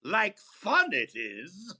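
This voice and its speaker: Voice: medium pitch, huge pitch swings, sharp tone, breathy edge, full character voice. A performer playing a sarcastic, insolent, mocking department store floorwalker in a 1940s-style radio comedy. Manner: mocking, drawn-out.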